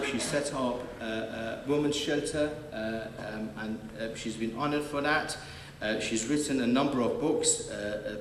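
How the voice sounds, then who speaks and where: A man moderating a panel, introducing speakers with many fillers and restarts. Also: mid-range voice. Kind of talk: hesitant live introduction. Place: large echoey hall. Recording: distant mic, echoey hall, faint noise.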